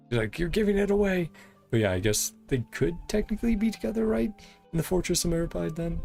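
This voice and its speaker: A woman's voice: lowish voice, lively intonation, normal speed, gravelly, pauses often, darkish tone. A woman giving casual, giggly, rambling commentary as she plays through a game's story. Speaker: game streamer reacting to story quest. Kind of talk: casual playthrough commentary, reading on-screen text. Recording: game music faintly behind. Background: video game music.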